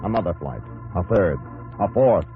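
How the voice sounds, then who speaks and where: Dramatic 1950s radio narrator, tinny sound. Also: male voice, deep voice, animated. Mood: solemn, reflective. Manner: dramatic, measured, with terse phrases. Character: solemn male narrator speaking intimately to the hero. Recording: tinny 1950s radio broadcast, music behind.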